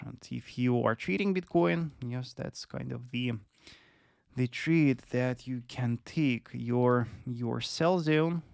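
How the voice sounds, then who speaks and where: A man in his twenties presents live analysis at a slow, measured pace. His voice has a noticeable fry and sits in a dry, close recording. He explains step by step and repeats key phrases for emphasis. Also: medium pitch, no room sound. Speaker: live trading stream host and analyst.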